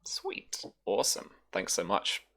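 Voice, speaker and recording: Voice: a little slow, pushed and bright. A game master casually hosting a tabletop roleplaying livestream in a chatty, teasing way.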